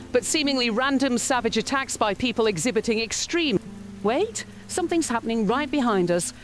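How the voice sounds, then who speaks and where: A woman playing an alarmed field correspondent, giving an urgent, dramatic radio report. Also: high voice. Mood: alarmed, panicked.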